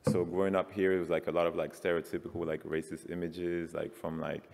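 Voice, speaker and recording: A man's voice: low voice, level tone, fairly quick, creaky, darkish tone. A man in his forties from France, giving a loose, filler-heavy conference talk about his work. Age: 40s. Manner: casual, many fillers like "like". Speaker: panelist artist. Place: large conference hall. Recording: reverberant hall.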